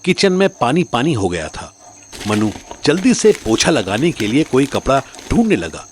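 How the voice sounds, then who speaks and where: A man's voice: mid-range voice, big pitch swings, moderate pace, slight background noise. A man narrating a scripted story in a dramatic storytelling style.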